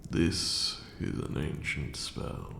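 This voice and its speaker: A man voicing an ancient, brooding vampire lord who mentors with dark grandeur and remorse in a hushed, formal ASMR roleplay monologue. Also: roomy sound, big-room sound, slight background noise. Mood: quietly proud. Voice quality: gravelly, breathy drops into near-whisper. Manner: slow, deliberate, hushed, formal archaic phrasing.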